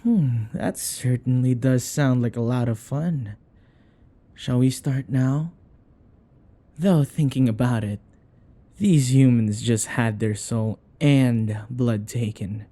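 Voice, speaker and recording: A man voicing a world-weary, wary vampire in a breathy, gravelly, languid voice for an ASMR roleplay. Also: slow, dark tone. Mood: intrigued, cautious. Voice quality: breathy, gravelly, low-key.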